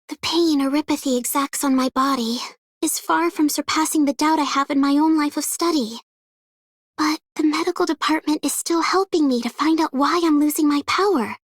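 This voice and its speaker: A woman voices a young game heroine, sincere and full of hope. Her voice is very high, and the recording is close and dry. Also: moderate pace, no echo, lightly acted. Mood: wistful, hopeful. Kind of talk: scripted game character monologue.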